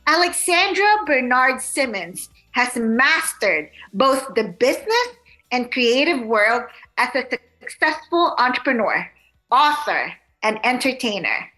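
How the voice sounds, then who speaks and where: Bubbly high-voiced woman host. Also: sing-song, a little slow, bright, forceful tone, soft background music, poor audio. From Philippines. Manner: bubbly, chatty, many fillers. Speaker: podcast host. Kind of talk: casual interview.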